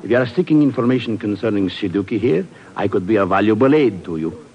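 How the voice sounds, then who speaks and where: A Soviet correspondent in old radio drama. He speaks low, with formal politeness and a knowing, insinuating manner, on a dull vintage recording. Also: animated, fast talker. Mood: polite, insinuating. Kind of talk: 1950s radio drama acting.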